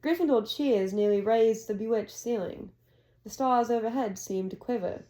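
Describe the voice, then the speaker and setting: A mother reading a children's novel aloud to her child at home, narrating in a plain, steady way. Her voice is a little higher than most women's, and her pace stays brisk and practical. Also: rough recording. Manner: brisk and gently coaxing between passages.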